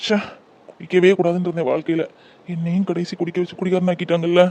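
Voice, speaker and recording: Man voicing a heartbroken hungover young man, high-pitched, groggy self-pitying mutter. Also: level tone, fast, warm tone.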